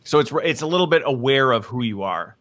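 A man's voice: medium pitch, lively intonation, brisk, fairly bright tone. A man interviewing guests in a casual business conversation, thinking aloud and often checking that he has understood.

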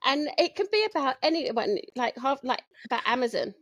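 A woman from Southwest London speaks with a London English accent, tossing off quick, self-deprecating jokes. Her voice sits fairly high and sounds close and dry, with no room around it. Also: animated, a bit bright, no echo. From Southwest London, England. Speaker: guest author. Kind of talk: casual podcast chat.

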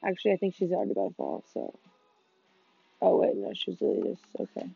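A teenage girl chatting over a video game, quick and profane, breaking into sudden excited yelps. The sound is dull and muffled, with dry close pickup and faint music underneath. She keeps running herself down between jokes. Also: fairly low pitch, gravelly, breathy edge, no room sound. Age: teens. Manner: fast, swearing, self-deprecating, excitable outbursts. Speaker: podcast co-host. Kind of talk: casual gaming chat with friends.

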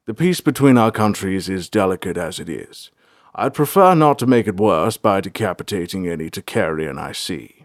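A man's voice: deep voice, expressive, normal speed, no echo, clean studio sound. A man voicing a proud warrior king in a storybook, speaking in a formal, commanding manner. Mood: stern.

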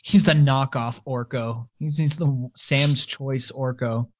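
A man's voice: mid-range voice, steady tone, takes it slowly, dry recording. A man co-hosting a podcast, chatting in a laid-back, joking and enthusiastic way. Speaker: podcast co-host. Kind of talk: casual podcast chat. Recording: dull lo-fi audio.

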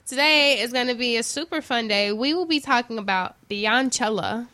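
Loud, upbeat woman radio host. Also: fairly high pitch, lively intonation, moderate pace, no room sound. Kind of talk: casual talk show chat. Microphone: studio mic.

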